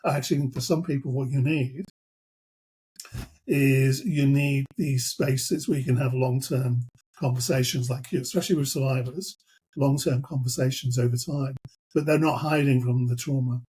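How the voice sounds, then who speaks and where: A man interviewing a guest, rambling and building his questions slowly with lots of fillers. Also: mid-range voice, fairly even intonation, dark tone, husky, poor audio.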